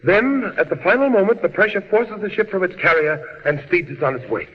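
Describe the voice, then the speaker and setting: A man's voice: sits high, lively intonation, fairly quick. A man playing an impassioned alien scientist and family man in an old-style radio drama, with a grave, oratorical delivery. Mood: subdued, hurried. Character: impassioned alien scientist warning of doom, husband and father. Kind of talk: 1940s radio drama acting. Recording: muffled, hissy old radio recording, long reverb.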